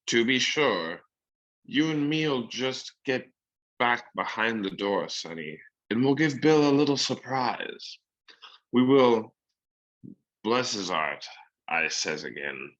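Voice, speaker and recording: A man's voice: fairly low pitch, animated, takes it slowly, long pauses, a bit bright, highs cut off. A man playing a sly, menacing old pirate who coaxes in rustic seafaring talk for a radio drama. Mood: sly, wheedling.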